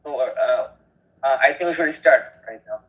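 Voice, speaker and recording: A man from Bangladesh joins a speaking practice and speaks politely, sometimes in quick bursts. His voice is mid-pitched. It comes through a narrow, phone-like line. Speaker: language learner. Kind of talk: practice conversation.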